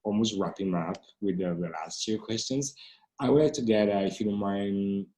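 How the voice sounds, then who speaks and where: Man, interviewer, fairly deep voice, very soft mellow tone, halting phrasing. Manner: halting, roundabout questions. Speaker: interviewer and moderator. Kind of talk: artist talk interviewing, conversational.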